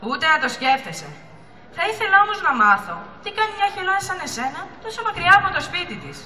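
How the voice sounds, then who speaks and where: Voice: extremely high pitch, big pitch swings, bright, forceful tone, exaggerated voice. A young teenager playing a brave, kindly male sea creature in an amateur school puppet play, eager and earnest. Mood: concerned. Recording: echoey reverberant hall recording.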